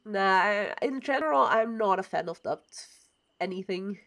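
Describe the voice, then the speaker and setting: A woman's voice: mid-range voice, animated, moderate pace, creaky, fairly bright tone. Chatty woman streaming a game. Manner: chatty and opinionated, muttering to herself as she builds. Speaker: livestreamer. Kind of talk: casual chat while playing a building game.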